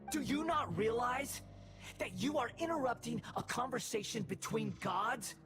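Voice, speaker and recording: A man playing an arrogant young would-be god in a video game, declaiming with grandiose, slow contempt. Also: very high-pitched, animated. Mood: haughty, mocking. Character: arrogant, theatrical young male would-be god, scornful villain. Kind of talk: dramatic video game voice acting. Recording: loud game music underneath. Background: game music.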